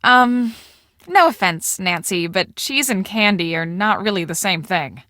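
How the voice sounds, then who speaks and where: An actress playing a brisk, bossy young woman on a storm-chasing team in a video game, speaking emphatically and expressively. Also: mid-range voice, animated, sharp tone, dry recording, clean studio sound. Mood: playful, teasing. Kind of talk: scripted video game dialogue.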